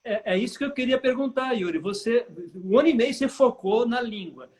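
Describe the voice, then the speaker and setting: A man hosting a live interview, chatty and prompting, often tagging his questions with a quick "tá?". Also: very high voice, lively intonation. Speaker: interview host, former teacher.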